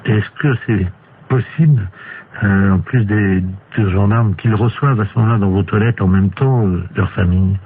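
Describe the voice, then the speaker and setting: A man playing a hesitant, deadpan male court official in an improvised telephone prank. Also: fairly low pitch, moderate pace, close to an everyday voice. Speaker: prank caller. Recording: tinny phone line with clear hiss.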